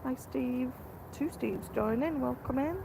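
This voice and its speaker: A hoarse woman, chatting quietly to viewers on a fishing live stream outdoors by a lake. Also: high voice, a little slow, noisy background. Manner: speaks quietly, greets viewers. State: hoarse from a cold. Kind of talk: casual live-stream chat.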